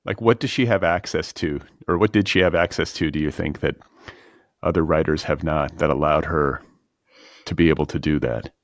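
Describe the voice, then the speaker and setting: A man's voice: rumbling low, moderate pace, no echo, studio quality. A man hosting a podcast, asking conversational questions in a literary interview.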